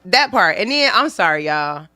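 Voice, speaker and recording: Raspy, bright woman co-host. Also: lowish voice, sing-song, moderate pace, dry recording. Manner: chiming in, agreeing, playful. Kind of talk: casual talk-show banter.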